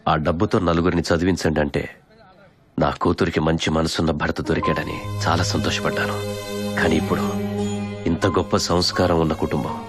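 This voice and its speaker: A man playing a quiet, dignified middle-aged father of the bride in a film. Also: low-pitched, steady tone, brisk, lightly acted. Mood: proud, moved. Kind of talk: film drama dialogue. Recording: film soundtrack with loud music.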